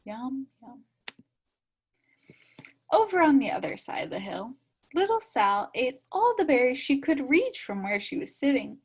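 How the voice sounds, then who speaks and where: A woman reading a children's story aloud expressively, enunciating deliberately and acting out the sound words and dialogue. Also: sits high, sing-song, breathy edge. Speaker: storybook reader.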